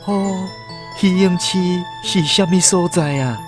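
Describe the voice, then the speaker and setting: A man's voice: high-pitched, slow pace. A man acting in a Taiwanese radio drama as an earnest, troubled lost soul who questions and ponders as he searches for peace. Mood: curious. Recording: music bed under the voice.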